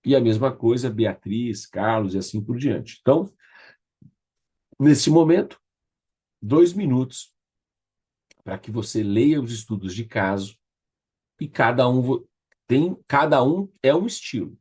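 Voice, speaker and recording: A man's voice: medium pitch, animated, measured pace, pauses often, warm tone, breathy edge. A Brazilian man facilitating an online class, giving informal, directive instructions and checking in with participants.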